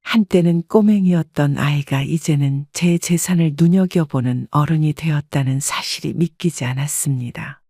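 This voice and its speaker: A woman narrating a first-person life story in a calm, measured, reflective way. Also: low voice, dry recording, clean studio sound.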